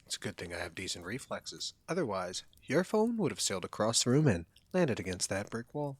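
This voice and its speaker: A man's voice: lowish voice, big pitch swings, a little fast, breathy edge, dry recording. A man playing a caring, protective young college friend in an intimate, one-sided audio roleplay, speaking in an earnest, conversational way. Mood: teasing.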